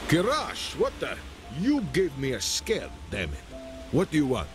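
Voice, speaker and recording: Touchy desert guard, swinging pitch, game music behind. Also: male voice, huge pitch swings, unhurried. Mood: startled. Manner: prickly, insulting, theatrical. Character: irritable male desert-born city guard, devout. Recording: game audio under music.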